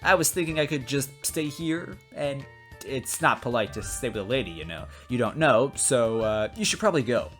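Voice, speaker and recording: A man's voice: mid-range voice, expressive, normal speed, faint music behind, natural voice. A man voicing a brash, pushy young princess from a story, blunt and casual with lots of slangy filler. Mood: pushy, breezy.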